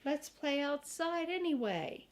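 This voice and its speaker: A woman's voice: high-pitched, expressive, unhurried, voice pushed up. An older woman voicing an eager, playful young boy in a children's storybook.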